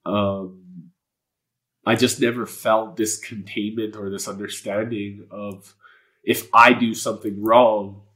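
Low-voiced earnest man. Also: flat delivery, takes it slowly, fairly bright tone, rough recording. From Canada. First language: Polish. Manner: earnest and spiritual, rambling with many fillers and curse words. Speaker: guest. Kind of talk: candid personal interview talk.

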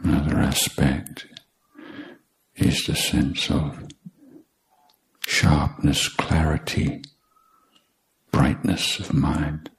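Man, meditation instructor, creaky low register, extremely slow pace, deliberate phrasing, long pauses. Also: fairly even intonation, low-quality recording. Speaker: meditation teacher, Buddhist monk.

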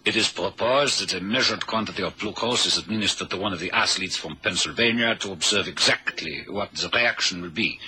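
An actor playing an earnest, confident scientist professor in a radio drama, speaking formally and deliberately. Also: male voice, low voice, bright, forceful tone, rough recording. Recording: hissy old radio recording.

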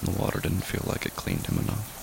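A man narrating a horror story in a somber, grave and hushed manner, with deliberate pauses. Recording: loud rain noise behind voice.

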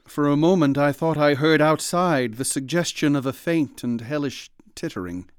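An actor playing an earnest, haunted ship's doctor in a radio drama, speaking in a formal, old-fashioned manner. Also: male voice, a little high, normal speed, clean tone, no echo, clean studio sound, natural voice. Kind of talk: radio drama acting and narration.

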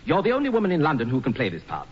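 Frantic, wildly swooping theatre producer. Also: male voice, on the high side, wildly swooping pitch, very fast, husky, in a large hall, heavily acted. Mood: pleading. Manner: pleading, flustered. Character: flustered, pleading male theatre producer, easily exasperated. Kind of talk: 1940s radio comedy acting. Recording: dull old radio recording.

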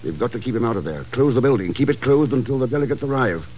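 An actor playing a cool, determined secret agent, clipped and wry, in an old-time radio drama. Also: male voice, mid-range voice, animated, quick pace, darkish tone, breathy edge, low-quality recording. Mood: urgent, firm.

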